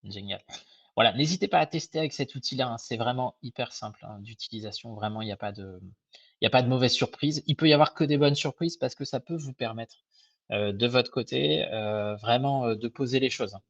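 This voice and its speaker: Young guy advisor talking through a webinar, bit husky, lots of euhs and restarts, dull lo-fi call sound. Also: mid-range voice, fairly quick, dry recording. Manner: hesitant, many fillers and restarts, friendly and informal. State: slightly hoarse. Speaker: microfinance advisor presenting a webinar. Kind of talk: casual live webinar presentation. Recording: dull, lo-fi web call audio.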